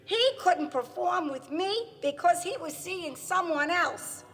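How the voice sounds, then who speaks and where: A woman plays an excitable, wounded housewife in a theatrical radio play. Her voice is high and bright and gushes with exclamations. It rings in a long hall reverb. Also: slow, exaggerated voice. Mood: bitter. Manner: gushing, exclamatory.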